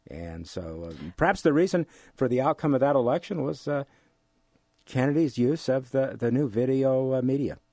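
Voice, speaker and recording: An older man with an American accent explains things in an interview, haltingly, repeating phrases for emphasis. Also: mid-range voice, animated, darkish tone, no echo, clean studio sound. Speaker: economics instructor giving an interview.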